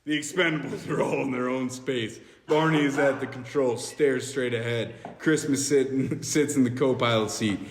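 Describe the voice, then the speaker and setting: A man's voice: mid-range voice, steady tone, moderate pace, few pauses. Echoey man reading screenplay directions. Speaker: podcast member reading stage directions. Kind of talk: scripted narration at an amateur table read. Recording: echoey hall, faint noise.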